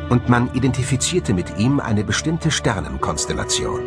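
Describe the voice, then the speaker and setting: A man delivering scripted documentary narration in a measured, dramatic way, as the presenter of the film. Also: mid-range voice, wildly swooping pitch, vocal fry, music in the background.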